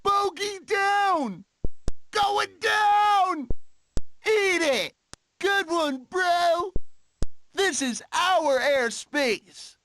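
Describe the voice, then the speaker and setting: An actor playing a goofy, excitable male possum daredevil in an animated game. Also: very high voice, drawn-out words, a little hiss or hum, exaggerated voice. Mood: gleeful, frantic. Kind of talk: animated video game character acting.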